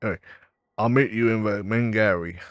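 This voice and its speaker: A man doing a casual game playthrough, reading dialogue aloud in a low-key, drowsy way. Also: fast, no room sound. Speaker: gamer on a playthrough. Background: faint game music.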